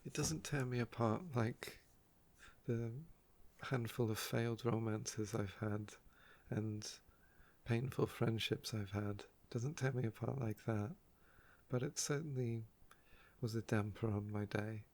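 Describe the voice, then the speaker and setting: A soft-spoken man with a croaky voice, telling stories about his day in an unhurried, wistful ASMR ramble. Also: fairly low pitch, fairly even intonation. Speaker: ASMR video creator.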